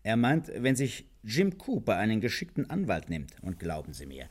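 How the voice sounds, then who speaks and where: A weary police commissioner with a lowish voice that swings widely in tone. He grumbles with dry, resigned authority, in 1950s radio play style. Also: wildly swooping pitch, normal speed. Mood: dry, grumbling.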